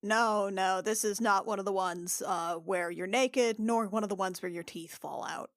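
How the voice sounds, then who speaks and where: A woman voicing a gentle, awkward female healer in a tabletop game, halting and kindly. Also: medium pitch, dry recording, clean studio sound, natural voice. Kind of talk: improvised tabletop roleplay.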